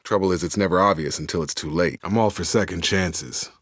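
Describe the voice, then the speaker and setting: A man's voice: deep voice, a little fast, fairly bright tone, dry recording, clean studio sound. A man playing a loyal, guarded young soldier in a sci-fi video game, speaking in an earnest, controlled, matter-of-fact way. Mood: measured, serious.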